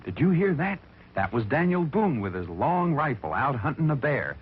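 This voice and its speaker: A man's voice: mid-range voice, sing-song, normal speed, noisy background. A man narrating a children's story in a theatrical, emphatic, folksy way, on an old recording with music behind him. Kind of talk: dramatic scripted storytelling for children. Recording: thin, phone-like vintage recording with music behind.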